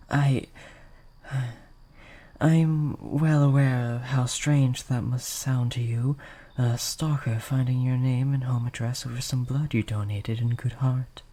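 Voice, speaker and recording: A breathy, mellow vampire of medium pitch, acted out by a performer for an intimate audio roleplay. The voice is soft and often sinks toward a whisper. The phrasing is courtly and hesitant, gentle yet possessive and lovesick. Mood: formal, apologetic. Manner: formal, old-fashioned, hesitant, earnest.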